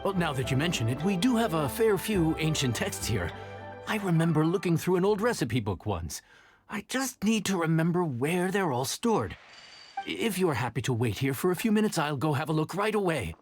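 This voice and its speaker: Friendly nervous inn cook, game character, voice jumps around, loud music under it. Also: male voice, on the high side, big pitch swings. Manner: deferential, halting. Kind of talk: video game voice acting.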